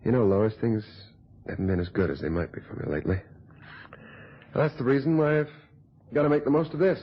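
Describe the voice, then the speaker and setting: An actor playing a brisk, unapologetic, opportunistic newspaper reporter in an old radio drama. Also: male voice, mid-range voice, slightly rough. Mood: defensive. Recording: dull, lo-fi old radio recording.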